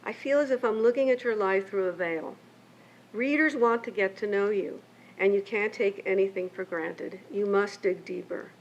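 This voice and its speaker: Older woman reading a reflective keynote. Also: medium pitch. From Mexico and United States. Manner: measured, reflective, reading from pages. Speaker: keynote speaker and memoirist. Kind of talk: reading a prepared keynote speech. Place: lecture event. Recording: muffled lo-fi with faint hiss.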